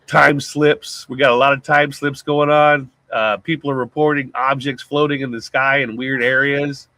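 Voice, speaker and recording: A man with a gruff voice co-hosting a casual live talk show, loose and laughing, swearing freely. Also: mid-range voice, little pitch movement, moderate pace, bright, forceful tone. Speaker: co-host. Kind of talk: casual live-stream chat.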